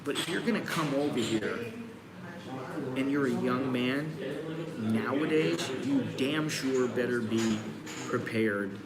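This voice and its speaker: A man's voice: medium pitch, slow pace. A man in his sixties from the United States hosts a casual live stream from a busy coffee shop, giving blunt, rambling advice with frequent restarts. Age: 60s. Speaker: host. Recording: roomy, crowded cafe. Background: crowd chatter.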